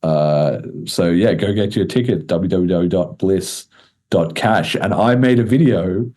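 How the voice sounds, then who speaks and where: A man's voice: low-pitched, measured pace, warm tone. A man hosting a podcast in a casual, chatty style with informal, slangy talk. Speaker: podcast host.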